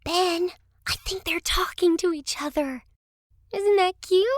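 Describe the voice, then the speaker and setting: A woman's voice: very high-pitched, a little slow, no room sound, studio quality, soft background music. A woman playing a bold, teasing, determined young girl in a children's audio drama, playful and bossy.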